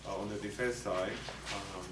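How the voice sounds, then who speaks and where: An older man with a Spanish accent gives a soft-spoken, hesitant lecture with plenty of ums and uhs. His voice sits fairly low. He is heard from across an echoey, noisy meeting room. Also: fairly even intonation, normal speed, recorded from a distance. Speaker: psychologist presenting to lawyers. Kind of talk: continuing-education lecture.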